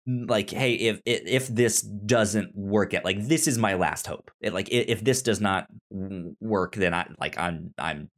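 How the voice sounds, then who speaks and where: A man's voice: on the low side, measured pace, hardly any pauses. A man chatting casually as a podcast host, with a stutter, often acting out imagined dialogue. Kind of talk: casual TV discussion chat.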